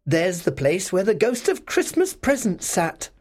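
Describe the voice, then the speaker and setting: A man's voice: sits high, wildly swooping pitch, a little slow, voice pushed up, exaggerated voice. A man voicing a joyful reformed old miser in a storybook, exclaiming with giddy, tearful glee. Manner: exclamatory, gleeful.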